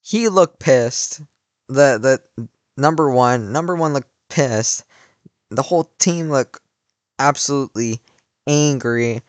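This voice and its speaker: A man's voice: medium pitch, slow, long pauses, dry recording. A young man in his late teens tells a story in a rambling, casual way, full of "like" and restarts. His voice is a little worn from cheering. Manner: rambling, many "like" fillers and restarts. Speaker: vlogger.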